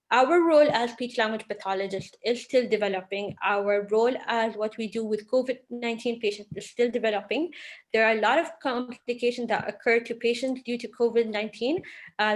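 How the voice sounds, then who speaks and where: A woman speech language pathologist giving an earnest webinar talk, with frequent fillers and restarts. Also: fairly high pitch. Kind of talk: webinar presentation. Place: online webinar from home or office.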